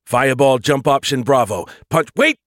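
Blunt male military commander for space audiobook, narrator-acted; urgent orders, sarcastic edge, animated pitch, dry sound. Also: normal speed, dry recording, lightly acted. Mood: urgent, commanding.

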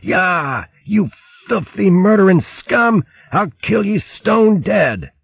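A man's voice: high-pitched, very animated, slow, vocal fry, husky, dry recording, voice pushed up, heavily acted. A man voicing an emotional, brave young male mouse from a ship's crew, speaking earnestly. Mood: furious. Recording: muffled lo-fi recording.

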